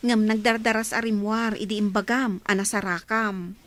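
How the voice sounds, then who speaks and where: A woman's voice: mid-range voice, fairly even intonation, moderate pace, lightly acted. A woman with an Ilocano accent playing a curious, skeptical coworker in a radio drama.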